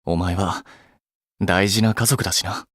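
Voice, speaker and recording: A man's voice: low-pitched, fairly even intonation, a little slow, a bit bright, no echo, studio quality. A man voicing an earnest, blunt but caring young idol in an anime game, speaking casually and a little awkwardly. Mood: earnest, serious. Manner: casual clipped polite speech, flustered hesitations.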